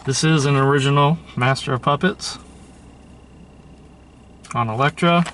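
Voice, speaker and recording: A man's voice: medium pitch, unhurried, fairly bright tone. Man chatting casually, some background noise. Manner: informal, musing aloud, opinionated. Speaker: host showing a thrift store tape collection. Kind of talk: casual show-and-tell commentary.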